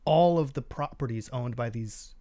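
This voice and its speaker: A man's voice: mid-range voice, moderate pace, dark tone, no echo. Man, mid-range voice, casual panel talk, skeptical, explanatory, questioning, dry close sound. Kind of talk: casual discussion.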